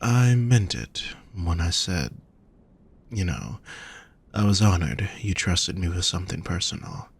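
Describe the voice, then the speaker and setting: Casting: male performer as a gentle demon boyfriend for intimate audio roleplay; husky, unhurried, tenderly teasing. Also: sits low, animated, heavily acted. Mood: reassuring, sincere.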